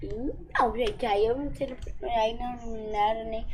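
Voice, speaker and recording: Slightly hoarse chatty woman vlogger. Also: a little high, fairly even intonation, normal speed, a little hiss or hum. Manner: chatty, rambling, addresses viewers directly. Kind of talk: casual vlog chat.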